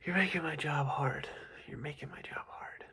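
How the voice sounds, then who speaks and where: A man's voice: a little high, moderate pace, pushed and bright. A young man whispering an ASMR roleplay as a boss, scolding in a casual, rambling way with many fillers. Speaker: ASMR creator playing a boss.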